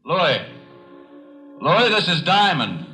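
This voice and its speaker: A man playing a detective in 1940s radio style, calling out loudly and deliberately. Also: on the high side, very animated, full character voice. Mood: firm, urgent. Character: male detective calling firmly to a holed-up gunman. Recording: distant old radio, music behind.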